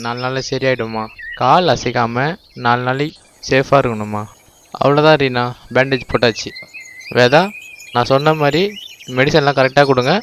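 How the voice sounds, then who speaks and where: A man plays an animal doctor in a children's cartoon. His voice is medium-pitched. He is calm, reassuring and instructive, over faint background noise. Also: lightly acted.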